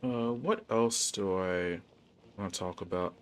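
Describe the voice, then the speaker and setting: A man's voice: low voice, a little slow. A young man talking casually to his viewers while livestreaming a video game. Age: young adult.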